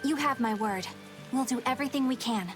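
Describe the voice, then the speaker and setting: A woman's voice: high voice, fairly quick, lightly acted. A woman playing an earnest, polite, dutiful young exorcist in a video game, speaking sincerely. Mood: earnest, resolute. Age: young adult. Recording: music behind.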